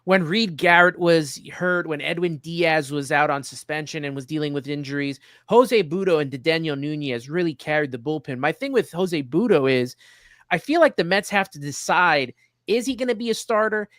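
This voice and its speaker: A man's voice: a little high, moderate pace, fairly bright tone, no echo, clean studio sound. A man hosting a casual baseball discussion, giving his opinions in an earnest, conversational way. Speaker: sports show host. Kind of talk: casual sports talk.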